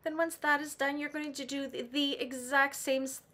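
A middle-aged woman with a raspy voice from laryngitis, patiently walking through a sewing tutorial step by step. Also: high-pitched, level tone, moderate pace, sharp tone. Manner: patient, practical, with some "um" and restarts. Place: home sewing room.

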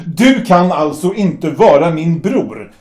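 An actor playing a young sailor who angrily refuses to join the party, indignant and emotional. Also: male voice, a little high, expressive, darkish tone, reverberant, lightly acted. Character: outraged young sailor brother, anti-fascist. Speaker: Stefan, younger brother. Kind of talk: amateur audio drama acting.

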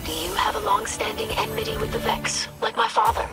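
A woman's voice: mid-range voice, huge pitch swings, a little fast, gravelly. A woman playing an inquisitive, earnest young alien in a video game, with formal, precise phrasing. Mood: curious. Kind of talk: scripted game character dialogue. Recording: loud music under the voice.

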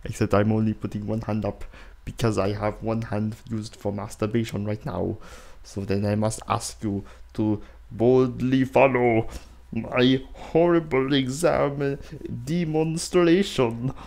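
Solemn, rambling psychic monk for a cartoon spoof, gravelly and self-important, acted by a male dubber. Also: medium pitch, steady tone, measured pace, darkish tone, voice pushed down. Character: pompous psychic monk, stern but absurd and lewd. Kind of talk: crude cartoon parody dub.

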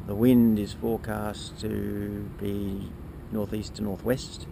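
A man, giving a relaxed, halting casual update as a sailing vlogger, recorded on a handheld camera outdoors. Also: on the low side, creaky. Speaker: sailing vlogger, boat skipper. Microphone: camera held at arm's length. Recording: outdoor wind and boat noise.

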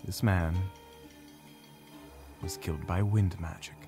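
A man playing a composed, dryly self-assured mage, explaining in a measured way in an audio drama. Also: low-pitched, steady tone, a little mellow. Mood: grave, analytical. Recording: music playing behind. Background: background music.

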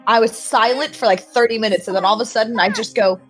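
A woman's voice: fairly high pitch, fairly even intonation, fast. A woman chatting casually and playfully as a cast member on a group commentary. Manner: playful, joking, giggly. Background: music and show audio faintly behind.

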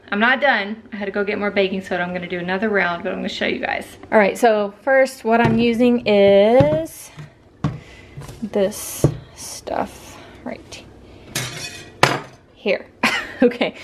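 A woman's voice: mid-range voice, slight background noise. Chatty young woman vlogging at home. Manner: chatty, rambling, self-correcting. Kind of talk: casual chat to camera. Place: home kitchen indoors. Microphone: handheld camera close to face.

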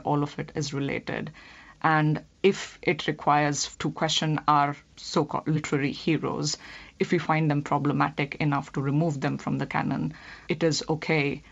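A woman giving a firm, deliberate interview answer. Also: deep voice, vocal fry. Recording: dull lo-fi audio.

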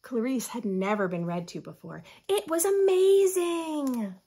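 American woman, thirties, children's read-aloud, very lively intonation, gravelly fry, teacherly asides. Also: high voice, a little slow. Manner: expressive, teacherly, addressing young children. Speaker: children's story host. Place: small furnished room at home.

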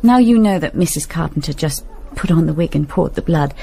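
A woman's voice: sits low, lively intonation, a little fast, vocal fry, mellow, a little hiss or hum. An actress playing a fragile, forlorn teenage girl in a radio drama, subdued and plaintive.

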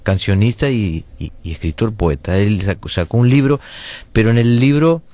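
A low-voiced man with a Uruguayan accent joins a radio conversation as a guest. He speaks haltingly, repeating words as he goes. The sound is dry and close. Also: expressive, warm tone, no room sound. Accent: Uruguayan Spanish. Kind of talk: radio interview.

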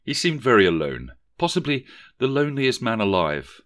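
Everyday working dad, plain and chatty, read by a man, bright tone. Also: medium pitch, big pitch swings, normal speed, voice pushed up. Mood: relaxed. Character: ordinary working man, a family father, matter-of-fact.

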